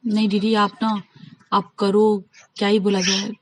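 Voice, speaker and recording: A woman's voice: mid-range voice, little pitch movement, a little slow, poor audio. A woman in a casual private phone conversation, talking insistently, giving advice and recounting what others said. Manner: insistent, advising, recounts conversations by acting out quotes.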